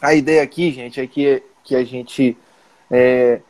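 A man's voice: mid-range voice, steady tone, slow pace, a little mellow. A man hosting an interview in a friendly, informal way, often checking in with the audience. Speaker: interview host, physician.

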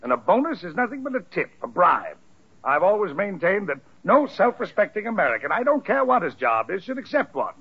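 An actor playing a pompous, tight-fisted company boss in an old radio sitcom, with a husky voice and fussy delivery. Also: male voice, high-pitched, big pitch swings, fairly quick, full character voice. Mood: self-important. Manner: pompous, flustered. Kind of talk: 1940s radio sitcom acting. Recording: muffled old radio recording.

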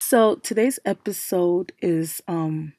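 A young woman hosting a casual solo podcast, her voice still low and worn from recently losing it, chatty and emphatic, circling back to repeat her points. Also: slow pace, mellow, faint music behind. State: recovering from a lost voice, speaking low. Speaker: podcast host.

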